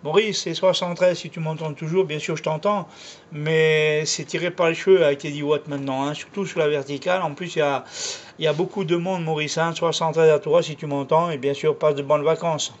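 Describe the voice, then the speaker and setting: A man with a fairly high voice chats casually over CB radio. His talk is colloquial and repetitive, full of tag words. The sound is dull and lo-fi, as heard through a radio. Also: fairly even intonation, brisk. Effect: radio transmission. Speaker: amateur CB radio operator. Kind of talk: casual radio contact chat.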